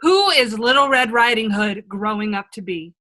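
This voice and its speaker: Woman acting wise older mirror self, highish forceful voice, frank, earnest, reassuring, fairy-tale radio play. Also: animated, moderate pace. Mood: matter-of-fact.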